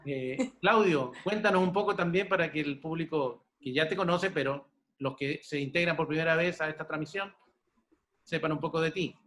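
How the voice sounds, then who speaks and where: A Chilean man hosts an interview in a voice pitched a little high. He talks at length without a script, halting and repeating words while he finds the next phrase. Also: a bit bright. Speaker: host and interviewer. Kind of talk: unscripted interview hosting.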